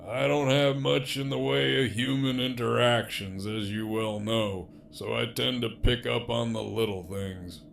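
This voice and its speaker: A heavily gravelly man's voice acting out a role as a cocky, teasing villain behind bars in an audio drama. He takes his time, drawling with sardonic bite, over faint background noise. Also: medium pitch, steady tone, a little slow, hardly any pauses, a bit bright.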